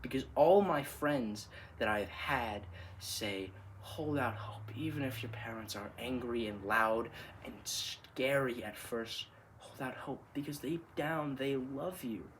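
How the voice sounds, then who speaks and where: A man's voice: mid-range voice, natural voice. Man acting a subdued, wounded son, faintly noisy room. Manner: subdued, earnest, deliberate phrasing. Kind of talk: dramatic monologue performance.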